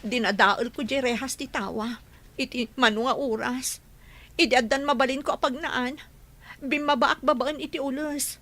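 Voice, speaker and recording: A woman's voice: high-pitched, steady tone, moderate pace, fairly bright tone. An actress in a radio drama playing a distressed, insistent woman complaining to the police. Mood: urgent, indignant. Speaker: actor in a radio comedy drama. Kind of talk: Ilocano radio drama acting.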